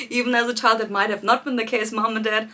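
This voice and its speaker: A woman with a slightly high voice guides her viewers through a live coaching session. She talks gently and reflectively, speaking straight to her audience and laughing softly between her points. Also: fairly even intonation, brisk. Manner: addresses viewers directly, greets them by name. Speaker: life coach hosting a live challenge. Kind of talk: live-streamed coaching talk.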